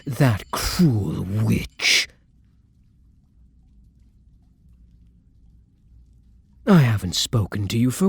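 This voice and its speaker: A man playing a lonely, gentle vampire in an intimate ASMR roleplay, speaking softly and haltingly with a wistful tenderness. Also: fairly low pitch, husky, full character voice. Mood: concerned, tender.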